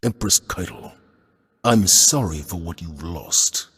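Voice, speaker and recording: Game role: solemn military commander, gravelly and low, expressive gravitas in a reverberant space. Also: male voice, clean studio sound, full character voice. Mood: somber. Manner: grave, measured. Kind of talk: dramatic video game acting. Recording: hall reverb.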